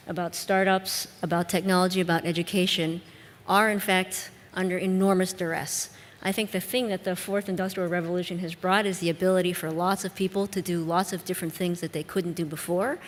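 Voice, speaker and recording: A woman with a Midwestern American accent, an educator answering on a discussion panel in a measured, reflective way with frequent restarts. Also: on the low side. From United States. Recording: long reverb of a conference hall.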